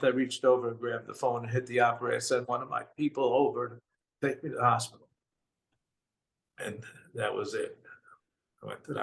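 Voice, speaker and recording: Older man, New York accent, earnest storyteller, mid-pitched voice, drops quiet at heavy moments. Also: poor audio. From Brooklyn, New York. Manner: earnest, retells dialogue in quotes, voice drops low and quiet at hard moments. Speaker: guest telling his story. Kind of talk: personal storytelling.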